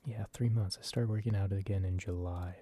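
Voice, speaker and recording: A man softly rambling in a casual ASMR style, hesitant and informal, with a breathy voice. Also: deep voice, fairly even intonation, a little fast. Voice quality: soft, breathy. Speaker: video creator. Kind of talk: soft-spoken ASMR ramble.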